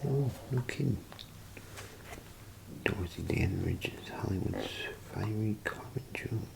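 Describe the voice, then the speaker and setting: A man playing an unhurried pawn shop owner in an ASMR role play, muttering haltingly and methodically to himself in a soft, breathy whisper. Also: animated, background noise, full character voice. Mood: curious. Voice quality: soft, breathy whisper with low creaky murmur. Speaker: ASMR performer.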